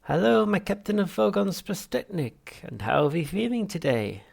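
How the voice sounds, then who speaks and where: A man's voice: high-pitched, sing-song, moderate pace, creaky, breathy edge, voice pushed up, full character voice. A man voicing a smug alien psychiatrist for an audiobook, falsely cheerful, patronising and unctuous. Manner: unctuous, patronising, brightly over-articulated. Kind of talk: audiobook character voice.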